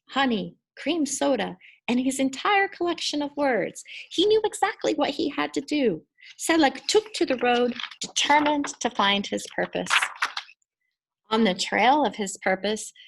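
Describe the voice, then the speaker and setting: A woman reading a children's story expressively, with deliberate, dramatic emphasis on her words. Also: mid-range voice.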